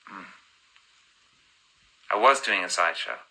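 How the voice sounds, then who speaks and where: A man's voice: deep voice, unhurried, pushed and bright, husky, low-quality recording. A man in his thirties chatting on a phone live stream, reading fan comments aloud and answering them, switching between languages mid-answer.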